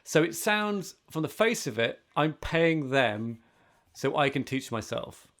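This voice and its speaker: Man chatting casually, creaky voice. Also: on the high side, sing-song, unhurried, a bit bright. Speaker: podcast host. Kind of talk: casual chat. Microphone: remote video call setup with headphones.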